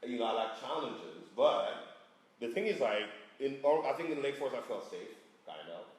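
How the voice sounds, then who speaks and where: A man from answers on a panel in a halting, animated way, his pitch swinging up and down as he hunts for words. The room is echoey around him. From Congo. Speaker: panelist, business operator. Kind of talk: panel discussion.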